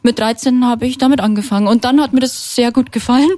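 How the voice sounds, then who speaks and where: A woman's voice: a little high, steady tone, fast, warm tone, dry recording. A woman of thirty with a Bavarian accent, telling anecdotes informally as a guest in a casual interview. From Bavaria, Germany. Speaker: interview guest, singer and guitarist.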